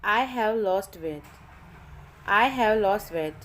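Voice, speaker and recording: A woman's voice: mid-range voice, expressive, a bit bright, slight background noise, low-quality recording. A woman teaching English vocabulary, reading each simple sentence twice for learners to repeat. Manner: reads each sentence twice, measured and deliberate. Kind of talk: slow repeat-after-me vocabulary drill.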